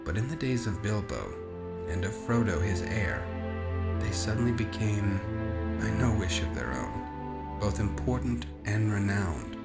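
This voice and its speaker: A man narrating a storybook in a measured, expressive, scripted audiobook style. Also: fairly low pitch, creaky. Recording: roomy echo under orchestral music. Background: orchestral music.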